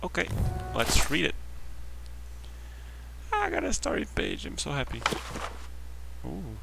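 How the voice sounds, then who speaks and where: A man's voice: a little high, wildly swooping pitch, takes it slowly, creaky, noisy background. A man giving casual let's play commentary, talking to himself in short phrases as he plays. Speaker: game streamer. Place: behind: game music.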